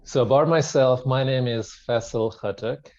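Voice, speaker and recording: A man's voice: mid-range voice, moderate pace, a little mellow, highs cut off. A middle-aged man from Pakistan telling his personal story as an interview guest, in a conversational way. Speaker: podcast guest, wellness centre founder.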